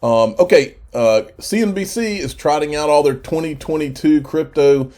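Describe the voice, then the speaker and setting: A man's voice: on the high side, normal speed, dark tone. Congested man hosting a crypto channel. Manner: rambling, chatty, restarts often. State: congested with a cold. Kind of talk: casual commentary.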